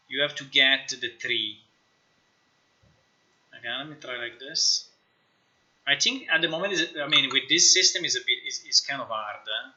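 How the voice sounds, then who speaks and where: Sharp-voiced man chatting on a livestream. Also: medium pitch, highs cut off. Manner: informal, excitable, repeats words and phrases. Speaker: live streamer, game developer. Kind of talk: casual livestream chat, thinking aloud while working.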